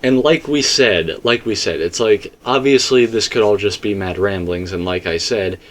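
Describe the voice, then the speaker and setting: A man's voice: lowish voice, moderate pace. A man in a casual, rambling fan discussion, repeating himself for emphasis as he co-hosts. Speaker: co-host discussing video game theories.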